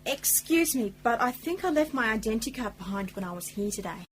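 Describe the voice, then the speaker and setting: A woman's voice: a little high, animated, fairly quick, a little hiss or hum, close to an everyday voice. A woman playing a determined, pleading young woman in an amateur radio play. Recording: roomy, distant, humming home recording.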